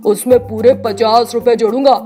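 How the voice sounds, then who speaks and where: Lady reading as a sly village trickster guy in a kids tale, pushy n crafty, raised pitch. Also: fairly even intonation, normal speed, mellow, faint music behind, lightly acted. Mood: firm. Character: sly male village swindler, brisk and cunning. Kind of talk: storybook character voice.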